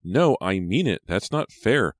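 Frightened teenage girl played by a male narrator, raised pitch, urgent and pleading, for a suspense storybook. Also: big pitch swings, moderate pace, no echo, studio quality.

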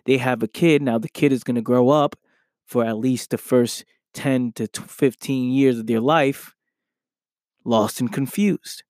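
Slightly hoarse man, motivational podcast host. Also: medium pitch, measured pace, a little mellow, no room sound, clean studio sound. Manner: earnest, repeats "right?" often. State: slightly hoarse from losing his voice. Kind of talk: motivational solo podcast talk.

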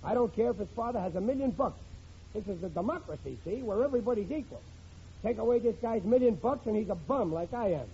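Blustering working-class sitcom dad, high-pitched yet very mellow, slangy, bumbling, old radio comedy. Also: male voice, lively intonation, fast. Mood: indignant. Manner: blustering, stammers excuses, slangy ungrammatical talk. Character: blustering, working-class bumbling middle-aged husband and father. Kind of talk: 1940s-50s radio situation comedy acting. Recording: dull old radio recording, faint noise.

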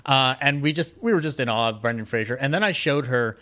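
A man's voice: mid-range voice, lively intonation, fast, bright, forceful tone. An American man from Colorado chatting casually as a podcast guest, talking in a rambling, enthusiastic film-buff way. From Colorado, United States. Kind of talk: casual interview chat about films and his life.

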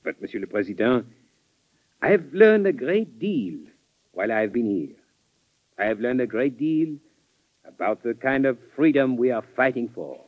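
A courteous French envoy. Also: male voice, mid-range voice, no echo. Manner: formal, halting. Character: French envoy, courteous, proud, newly humbled. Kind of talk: 1940s radio acting. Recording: tinny old radio broadcast.

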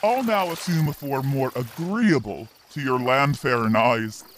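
An actor playing a courteous selkie elder in creature form in a fantasy audio drama, formal and ornate in speech. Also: male voice, mid-range voice, lively intonation, measured pace, noisy background, lightly acted. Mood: stately.

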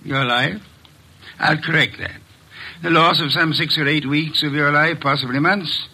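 An actor playing a fat, pompous detective in a 1950s radio drama, speaking in calm, measured, formal sentences. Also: male voice, on the high side, breathy edge, full character voice. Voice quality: formal, measured, elaborate diction.

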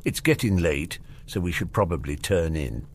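Guy reading as calm clever young boy, dry n polite, sure of himself, lively pitch, storybook. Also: moderate pace, a little hiss or hum. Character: calm, articulate, dryly confident young boy.

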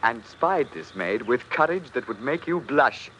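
An actor playing a giddy, jovial young English gentleman of centuries past, with flowery, theatrical phrasing in a radio drama. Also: medium pitch, sing-song, unhurried, muffled recording. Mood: smitten. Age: young adult. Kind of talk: 1950s radio acting, mock-archaic period dialogue. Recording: band-limited vintage radio, faint noise.

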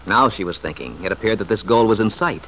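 A man's voice: lowish voice, lively intonation, fast talker, a bit bright. Vintage radio soap narrator, male, faintly noisy. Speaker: announcer and narrator. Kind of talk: old-time radio soap scripted narration.

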